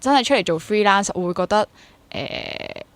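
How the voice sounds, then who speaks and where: A woman's voice: medium pitch, expressive, normal speed, no room sound. A woman in her twenties with a Hong Kong accent, talking conversationally as an interview guest and dropping in English words. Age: 20s. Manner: mixes English words into Cantonese. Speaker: interview guest, freelance stage actor. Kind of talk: conversational interview, sharing personal experience.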